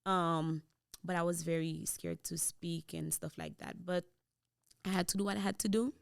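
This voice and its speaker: A young woman with a Haitian Creole accent talks through her story on a podcast, switching into Creole now and then. Her voice sits a little low and sounds smooth and clear. The studio mic is close and dry. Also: moderate pace, dry recording. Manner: switches into Creole mid-sentence, relaxed storytelling. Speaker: podcast guest. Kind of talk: casual interview chat. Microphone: boom-mounted studio mic.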